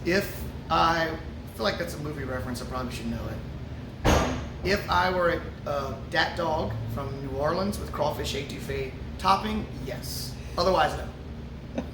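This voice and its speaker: A man, a musician, answering fan questions in a relaxed, joking way with lots of fillers. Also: fairly high pitch, measured pace. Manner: laid-back, joking, many fillers. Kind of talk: casual Q&A chat. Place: brewery before a show. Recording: roomy echo, from a distance, ambient noise.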